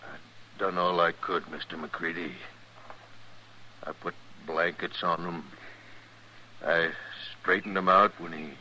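An actor playing a simple, anxious ranch hand in a radio western, halting, folksy and apologetic. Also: male voice, deep voice, steady tone, pushed and bright, slight background noise, full character voice, low-quality recording. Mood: shaken, helpless. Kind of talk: 1950s radio western acting.

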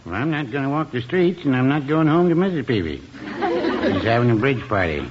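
Male actor as dry, contrary elderly druggist, animated intonation, radio comedy, dull recording with clear noise. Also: medium pitch, fairly quick, lightly acted. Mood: querulous, dry. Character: contrary, dry old druggist, henpecked husband. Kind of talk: 1950s radio comedy acting. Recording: muffled, noisy old radio recording.

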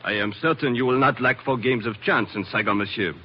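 An actor playing a suave, guarded colonial gentleman, speaking with formal politeness in 1940s radio drama. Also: medium pitch, brisk, a little hiss or hum, close to an everyday voice. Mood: suave, polite. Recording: muffled vintage radio recording.

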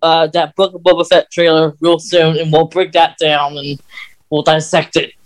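A man co-hosting a podcast in casual conversation, talking in a halting way. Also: fairly high pitch, level tone. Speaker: podcast co-host.

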